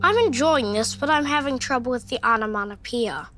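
A man's voice: very high voice, a little fast, music in the background. A boy actor playing a fussy, pedantic genius child in a sitcom, talking in a precise, formal way. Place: comic book store.

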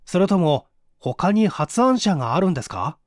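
Man playing blunt, gruff male engineer. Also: sits high, very animated, moderate pace, no room sound, studio quality. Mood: questioning. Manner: blunt, brusque.

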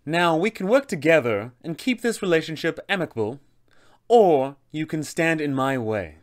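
A man voicing a haughty, scheming elven lich sorceress in a formal, measured, theatrical manner, for a tabletop game villain. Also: fairly high pitch, sing-song, pitched above the performer's own voice. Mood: veiled threat.

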